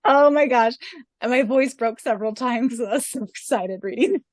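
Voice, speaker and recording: A woman co-hosting a fan podcast, gushing excitedly and laughing often as she reads answers and wraps up the show. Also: a little high, moderate pace, dry recording. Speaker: podcast co-host.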